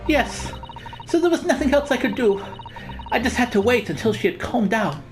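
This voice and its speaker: A woman voicing an anxious, remorseful young Russian woman in a video game, with a Russian accent and a halting, confessional delivery. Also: a little high, lively intonation, faint music behind, voice pushed up, close to an everyday voice. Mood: shaken. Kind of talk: reading game dialogue aloud in character.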